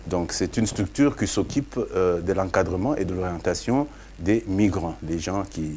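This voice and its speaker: Male interview guest, Congolese accent, deep register, explanatory and hesitant with repeated words, faintly noisy ambience. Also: normal speed, a little mellow. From Democratic Republic of the Congo. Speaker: interview guest, parliamentary candidate.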